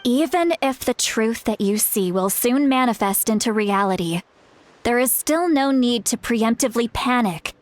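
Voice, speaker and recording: A woman's voice: fairly high pitch, animated, moderate pace, natural voice. A woman playing a stern, exasperated guardian who scolds like an elder in a video game.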